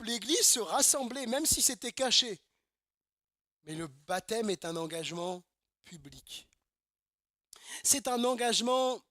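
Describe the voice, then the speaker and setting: A man from Normandy delivers a sermon in an emphatic, repetitive style full of rhetorical questions. His voice sits very high and sounds bright and forceful. The sound is dry and close, with almost no room echo. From Normandy, France. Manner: emphatic, repeats phrases, asks rhetorical questions. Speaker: pastor. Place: church service.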